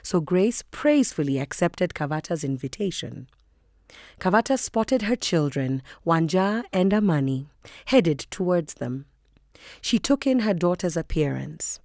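A woman narrates a novel from a script. Her voice is a little low, and the sound is very dry with no room echo. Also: big pitch swings, unhurried, a little mellow.

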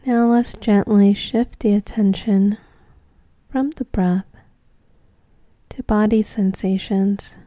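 A woman leading a guided meditation in a gentle, soothing, unhurried way, leaving long pauses between short phrases. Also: medium pitch, fairly even intonation, no room sound.

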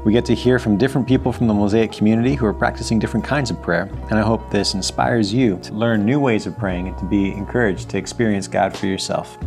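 A man who is a pastor hosting a podcast and asking a guest questions in a friendly, measured way. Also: on the low side, a little mellow, faint music behind. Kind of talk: conversational podcast interview.

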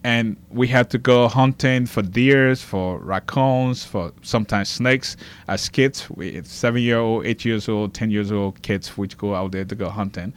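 A man from Liberia in his forties with a low voice, a guest telling his life story earnestly in a studio. He talks about faith as he speaks. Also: a little slow.

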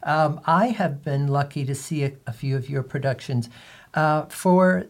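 A man's voice: on the high side, measured pace. A man hosting a radio interview, reading introductions and putting questions to a guest.

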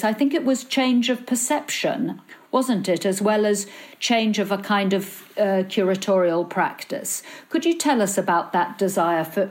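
A woman acting as narrator and interviewer, reading scripted introductions in measured, formal phrasing and asking questions. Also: mid-range voice. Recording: faint music behind.

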